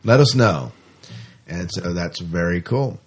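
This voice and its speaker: A man's voice: low voice, animated, measured pace. A man chatting casually as a podcast co-host, rambling along and often repeating his words and phrases.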